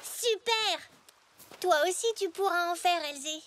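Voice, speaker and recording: An actor voicing a cheerful young zebra boy in a children's cartoon, excitable and playful, speaking French. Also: very high voice, measured pace, slight background noise. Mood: eager. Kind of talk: animated children's cartoon dialogue, French dub. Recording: music behind the dialogue.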